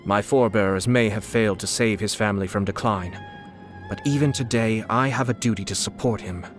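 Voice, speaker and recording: A man voicing a refined, suave young nobleman and clan head in a video game, coolly shrewd, with polished, measured, formal diction. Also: fairly low pitch, natural voice. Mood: composed, amused. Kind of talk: scripted video game character dialogue. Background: background music.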